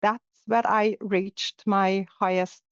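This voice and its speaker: A woman's voice: mid-range voice, level tone, slow, no echo. Hungarian woman reflecting, dry lo-fi sound. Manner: reflective, with frequent fillers and restarts. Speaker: guest. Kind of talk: casual interview conversation. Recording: dull, lo-fi sound.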